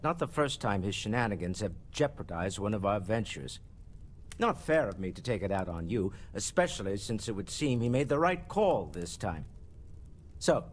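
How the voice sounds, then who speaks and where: Casting: grumpy older CEO for a space game, formal and wry, low pitch with lively swings. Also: male voice, a little hiss or hum. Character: gruff, grumpy older male businessman, proud but fair. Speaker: company CEO and expedition member. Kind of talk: video game character dialogue.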